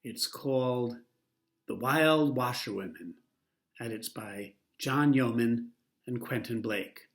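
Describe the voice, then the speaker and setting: A man's voice: mid-range voice, slow. Man reading a picture book aloud. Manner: lively, expressive read-aloud delivery. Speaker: storyteller.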